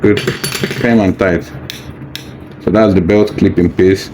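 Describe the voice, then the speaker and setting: A man's voice: low voice, fairly even intonation, takes it slowly. A man patiently walking through a hands-on unboxing tutorial, casual and reassuring, repeating his steps as he goes. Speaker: product demonstrator. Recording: faint background noise.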